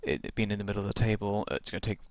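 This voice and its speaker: Gravelly low-voiced man rambling through rules. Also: racing, no room sound. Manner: rambling, many ums and restarts. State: croaky from long talking. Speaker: tabletop game reviewer. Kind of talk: casual rules walkthrough.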